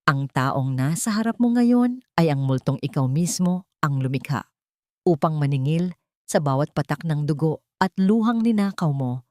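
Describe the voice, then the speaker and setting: A woman voicing a calm, cold wronged wife with measured delivery in an audio drama story. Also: low voice, dry recording, clean studio sound.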